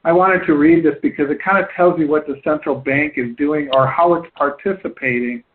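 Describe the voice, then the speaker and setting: Over a thin, phone-like line, a slightly high-voiced man hosts a conference call. He explains points at length, haltingly, circling back to rephrase his phrases as he goes. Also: steady tone.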